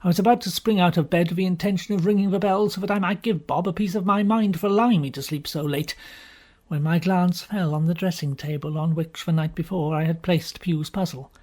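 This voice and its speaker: Husky male audiobook narrator. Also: high-pitched. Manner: expressive, theatrical, with emphatic pauses. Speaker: audiobook reader. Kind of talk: dramatic first-person storybook narration.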